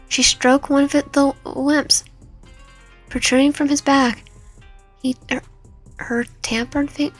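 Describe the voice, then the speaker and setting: Higher-voiced woman narrating a dramatic story. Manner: stumbles and repeats words while reading. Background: background music.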